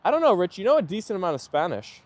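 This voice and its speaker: A man's voice: high-pitched, big pitch swings, racing, heavy background noise. A man hosting a casual live stream outdoors, chatting in a friendly way and answering viewer comments.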